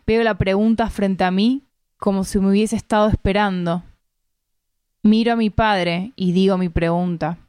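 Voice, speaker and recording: A woman from Argentina with an Argentine Spanish accent reads a literary first-person story aloud in measured, deliberate phrasing. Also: mid-range voice, darkish tone, smooth, dry recording, studio quality. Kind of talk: literary narration, read aloud.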